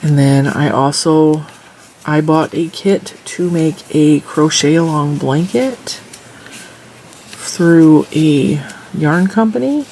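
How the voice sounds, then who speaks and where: A woman's voice: low-pitched, takes it slowly, darkish tone, slight background noise, poor audio. Congested woman chatting through a crochet tutorial. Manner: chatty, talks while counting stitches and rows. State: congested, stuffy nose. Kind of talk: casual crochet tutorial with chat.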